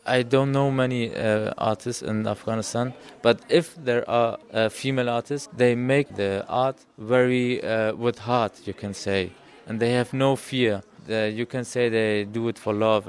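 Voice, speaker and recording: A man speaking earnestly in an interview, often pausing with "you can say". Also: fairly low pitch, fairly even intonation.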